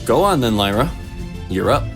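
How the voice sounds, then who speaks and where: An actor playing a wry, smooth-talking mentor from a magical order in a fantasy audio drama. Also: male voice, mid-range voice, very animated, moderate pace. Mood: playful, breezy. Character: glib male member of a magical order, mischievous mentor. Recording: music under the dialogue.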